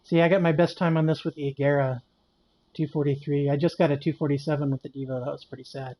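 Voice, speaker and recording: A man in his late fifties with a fairly high-pitched voice, chatting casually and poking fun at himself while he streams a racing game. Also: fast talker, dull audio. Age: 50s. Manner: relaxed and chatty, answers chat, self-deprecating. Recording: loud game music behind the voice. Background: game music and car engines.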